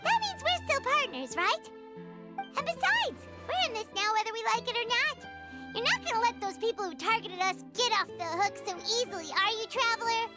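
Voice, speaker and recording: Game fairy companion: childlike, squeaky, very high and swooping, chirpy and excitable. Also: female voice, moderate pace, loud music behind the voice. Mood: animated, fretful. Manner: speaks of herself in third person, exclaiming. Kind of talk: video game voice acting.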